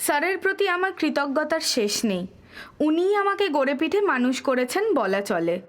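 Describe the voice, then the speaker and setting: An actress playing a stern, defensive school principal in an audio drama, formal and crisp in her manner. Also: high-pitched, sing-song, a little fast. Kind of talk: Bengali audio drama acting.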